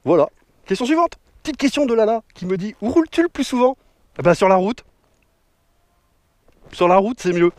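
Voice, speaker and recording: High-voiced chatty biker man. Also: sing-song, moderate pace, pauses often, dry recording. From northern France. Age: 30s. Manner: joking, chatty, with slang. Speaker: motorcycle vlogger. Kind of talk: casual Q&A vlog. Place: outdoors beside his motorbike. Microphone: action camera mic.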